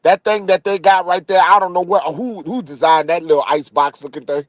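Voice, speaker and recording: A man's voice: high voice, fairly quick, no room sound, clean studio sound. A man co-hosting a sports podcast in heated, casual debate, insistent and repeating himself for emphasis.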